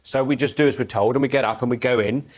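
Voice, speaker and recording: A man with a mid-pitched voice tells a comic anecdote as a panel show guest. His storytelling is halting, with stumbles as he sets out each step. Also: fairly even intonation. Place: TV studio with audience.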